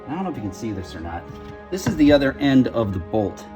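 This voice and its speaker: A man's voice: mid-range voice, sing-song, fast talker. A man hosting a hands-on project, talking viewers through each step in a casual, folksy, wry way. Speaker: radio morning show host presenting a DIY project. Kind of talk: casual hands-on tutorial narration. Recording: music bed behind the voice. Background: clear background music.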